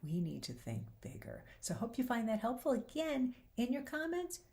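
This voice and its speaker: A woman's voice: fairly high pitch, very animated, normal speed, gravelly, dark tone. A woman hosting a faith-based talk show, speaking earnestly and encouragingly, her voice a little worn. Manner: earnest and encouraging, quoting scripture. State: slightly losing her voice.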